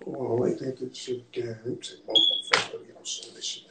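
A man's voice: mid-range voice, wildly swooping pitch, fairly quick, gravelly. A young man co-hosting a casual livestream from a home kitchen, blunt and slangy with callers. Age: young adult. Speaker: co-host of a livestream. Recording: noisy phone livestream with clicks.

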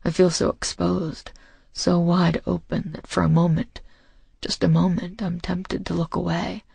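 Husky, gravelly male audiobook narrator. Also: sits high, flat delivery, unhurried, a little mellow, dry recording. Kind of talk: intimate first-person romance narration.

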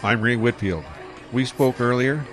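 A man's voice: mid-range voice, measured pace, over music, crowd noise, close to an everyday voice. An actor playing an easygoing young male starship pilot who talks in a casual, laid-back way in an audio drama.

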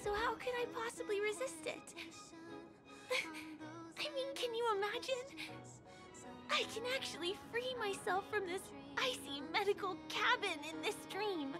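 Delicate young woman in an anime game, fatally ill yet earnest, very high soft voice, clear music bed. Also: unhurried. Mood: hopeful, earnest. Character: gentle, wistful young woman with a fatal illness. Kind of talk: anime-style video game voice acting. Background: game music behind the voice.

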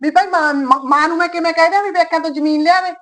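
A woman's voice: very high-pitched, steady tone, rapid-fire, fairly bright tone. A woman playing a stubborn older village mother who scolds her son and refuses to take land from her parents' home. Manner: scolding, emphatic. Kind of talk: Punjabi family drama acting.

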